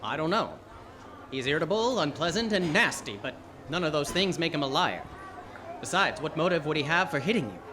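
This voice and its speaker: An actor playing a wry, hardboiled private detective in an old-time radio play, with clipped noir delivery. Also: male voice, fairly high pitch, sing-song, a little fast, noisy background. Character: wry, hardboiled male private detective, narrating his case. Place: live theatre stage.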